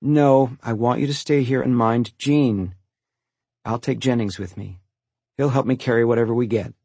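A man's voice: mid-range voice, fairly even intonation, moderate pace, husky, no echo. A man voicing a worn-out, exasperated mother who pleads with and scolds her sons in an audiobook story. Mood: firm, weary. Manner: pleading, sighing, snapping into yells.

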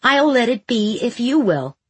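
A woman voicing a calm, protective man in an audiobook, speaking quietly and with measured, formal politeness. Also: fairly high pitch, slightly rough, dry recording, exaggerated voice. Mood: polite. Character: calm, restrained man protecting his sister.

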